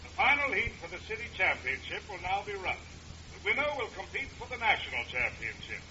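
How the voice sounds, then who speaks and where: An actor playing a formal, forceful race announcer in an old-time radio show. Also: male voice, high voice, sing-song, brisk, heavily acted. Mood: formal, commanding. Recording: muffled, noisy vintage radio recording.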